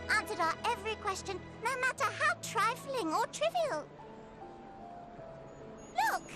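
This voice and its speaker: A high, eager young scholar. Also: female voice. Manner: earnest and eager. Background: game soundtrack music.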